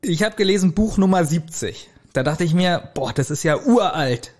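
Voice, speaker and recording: A man's voice: high voice, animated, moderate pace, a little mellow. A middle-aged man co-hosting a podcast, chatting in a casual, colloquial and jokey manner. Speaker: podcast co-host. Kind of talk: casual chat.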